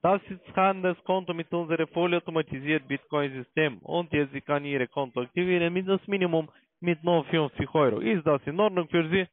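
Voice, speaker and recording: A man's voice: on the high side, fairly quick, no echo. Foreign-accented salesman on a dry phone line. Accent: foreign accent in German. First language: not German. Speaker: call center sales agent. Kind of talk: telephone sales call.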